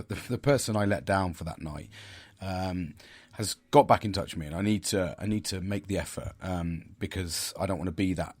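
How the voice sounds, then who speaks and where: Podcast host, middle-aged man from the United Kingdom, husky and low-pitched, halting casual delivery. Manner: hesitant, many fillers and restarts. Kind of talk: casual solo podcast talk.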